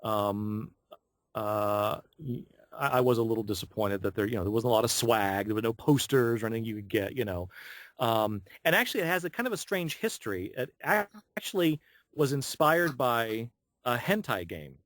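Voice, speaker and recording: Male voice actor as podcast guest, casual animated storyteller who plays out quoted lines, dry close sound. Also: mid-range voice, normal speed, no room sound. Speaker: interviewed anime voice actor. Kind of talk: casual anecdotal interview talk.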